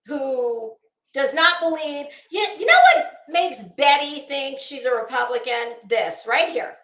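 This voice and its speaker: A woman's voice: high voice, a little slow, a bit bright. An American woman livestreamer giving casual, profane commentary in a scornful, sarcastic manner, leaning hard on emphatic delivery. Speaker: online livestream host. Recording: roomy, echoing sound.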